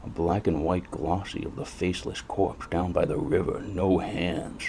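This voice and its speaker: An actor playing a wisecracking, sardonic private detective in a hardboiled noir audio drama. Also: male voice, low-pitched, little pitch movement, moderate pace, dark tone, a little hiss or hum. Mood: brooding narration. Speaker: narrator and lead detective.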